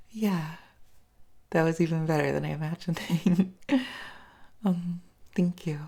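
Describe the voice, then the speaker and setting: A woman's voice: deep voice, takes it slowly, vocal fry, long pauses, a little mellow, husky, heavily acted. A woman acting a shy, smitten, tipsy date in an intimate roleplay audio, stammering and giggling nervously as she fumbles her words. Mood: flustered, delighted.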